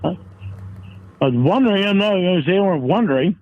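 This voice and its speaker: A man's voice: high voice, lively intonation, quick pace. A man on a phone call arguing defensively, swearing and using nonstandard grammar. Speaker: caller in a confrontation. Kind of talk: heated phone argument. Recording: tinny narrow phone line.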